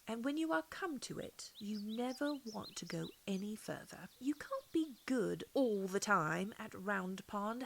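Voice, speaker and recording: Creaky-voiced woman reading a storybook. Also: medium pitch. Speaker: audiobook reader. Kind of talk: scripted storybook narration. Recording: faint background hiss.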